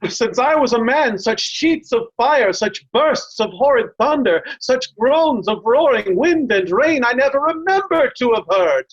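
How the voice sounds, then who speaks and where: Dull, lo-fi sound carries a woman acting a steadfast nobleman in a Shakespeare play. The speech is earnest and urgent, with a widely moving pitch. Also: animated, measured pace, hardly any pauses, slightly rough. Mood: concerned. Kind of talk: theatrical Shakespearean reading.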